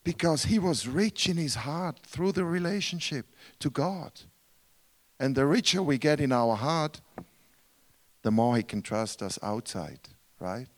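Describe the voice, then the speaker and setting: A man's voice: fairly high pitch, animated, a little slow. A man with a Swiss German accent, a guest preacher, earnest and rhetorical, often asking the congregation questions. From Switzerland. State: tired from travel. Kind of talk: sermon.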